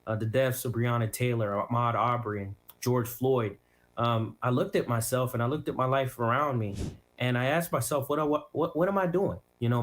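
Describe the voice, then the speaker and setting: A young man with an American accent answering an interview in an impassioned, rhetorical way with repeated phrases. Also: mid-range voice. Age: young adult. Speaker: elected prosecutor being interviewed.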